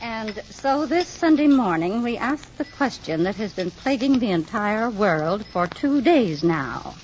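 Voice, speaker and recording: A woman's voice: mid-range voice, sing-song, a little slow. An actress playing an opinionated, alarmist older landlady in a 1950s American radio drama. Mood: indignant, alarmed. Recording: dull vintage vinyl radio recording, hissy.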